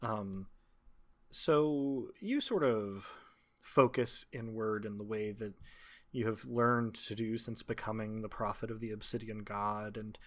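A man's voice: on the low side, unhurried. A man narrating a tabletop roleplaying game as the game master.

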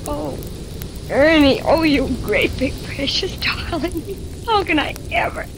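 An American actress playing a sweet, anxious, adoring wife in 1940s radio drama, gushing and fretful. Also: female voice, high voice, animated, slow, heavily acted. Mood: gushing, tearful. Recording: noisy vintage radio, rain and thunder behind.

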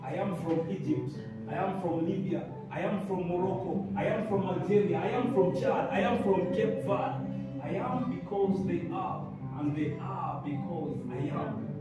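A man's voice: high-pitched, normal speed. A young Kenyan man with a Kenyan accent performing spoken word poetry with a rhythmic, declamatory delivery to a seated audience. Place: indoor community hall with seated audience. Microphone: phone camera from the audience, far off. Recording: echoey hall from far off, music behind.

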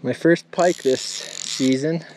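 A man's voice: fairly high pitch, steady tone, slow pace, noisy background. A man hosting a fishing video, giving upbeat play-by-play from a boat on a lake, recorded on an action camera. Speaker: fishing channel host. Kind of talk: casual fishing commentary.

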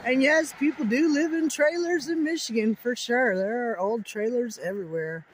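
A woman's voice: on the high side, moderate pace, slight background noise, low-quality recording. A chatty woman talking to her viewers while she films a roadside walk in winter. She keeps it casual and friendly, saying y'all a lot. Her phone picks her up outdoors beside a country road. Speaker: travel vlogger. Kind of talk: casual narrated road trip vlog. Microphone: phone camera held by the filmer.

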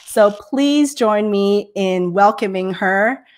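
A woman's voice: medium pitch, slow pace, over loud music. A middle-aged woman hosting an interview show over a video call, bubbly and enthusiastic, laughing often. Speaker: show host. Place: home office, indoors.

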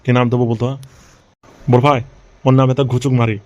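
A man's voice: mid-range voice, little pitch movement, fairly quick, mellow, dull audio, close to an everyday voice. A man playing a coarse, blustering, hot-tempered gangster boss and harried father in an amateur comic cartoon dub.

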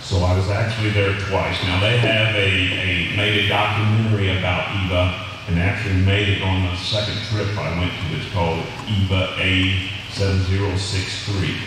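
An older man, a retired history teacher, giving an earnest history lecture at a podium with a loud voice, many fillers and restarts. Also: deep voice, little pitch movement, normal speed. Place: large hall with a seated audience, in doors. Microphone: podium microphone, filmed by a far camera. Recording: echoey hall from far off, audible room noise.

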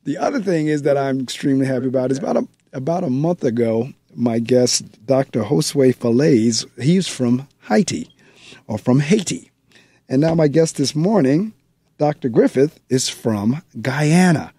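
A man's voice: mid-range voice, expressive, normal speed, dark tone, breathy edge, no room sound. A man hosting a radio book show, chatting casually and speaking straight to his listeners from the studio. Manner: chatty, conversational, addresses listeners directly. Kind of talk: radio interview.

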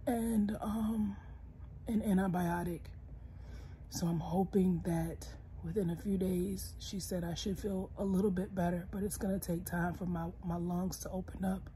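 A young woman lying in bed and talking casually to her phone camera, congested and hoarse, tired and soft-spoken, sometimes dropping to a whisper. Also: lowish voice, steady tone, a little slow, slight background noise. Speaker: vlogger. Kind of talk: casual personal vlog. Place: bedroom, lying in bed indoors. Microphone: phone camera close, held low.

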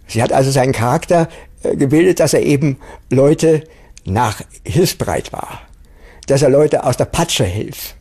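Husky, creaky man speaking haltingly. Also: medium pitch, dark tone. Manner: halting, repeats words while searching. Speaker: documentary interviewee. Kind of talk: spontaneous recollection in an interview.

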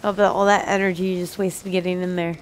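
A woman's voice: on the low side, level tone, fast talker, slight background noise. A woman in her thirties chatting casually as a reaction host, on a headset in a small studio. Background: game audio with music.

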